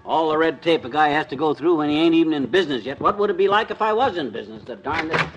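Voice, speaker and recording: A man's voice: on the high side, animated, fast talker, highs cut off, close to an everyday voice. An American actor playing a cranky, wisecracking small-town man in a 1950s radio comedy, talking in folksy, exasperated slang. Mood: irritated.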